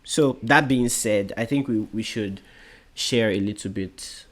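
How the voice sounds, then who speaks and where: Measured, low-voiced man chatting with his wife. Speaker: co-host of a married couple's channel. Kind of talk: casual chat.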